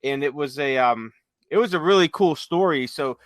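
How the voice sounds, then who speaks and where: A man podcast host talking casually, telling stories in a halting, conversational way. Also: a little high, no room sound. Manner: halting, conversational, retells stories with quoted dialogue. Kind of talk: casual chat, rambling storytelling.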